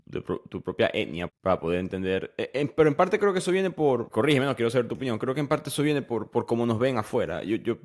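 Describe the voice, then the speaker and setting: A man's voice: medium pitch, very animated, fast talker, few pauses. A young man from Venezuela hosting a podcast, chatting conversationally and often restarting his sentences. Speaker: podcast host. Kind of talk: casual podcast interview.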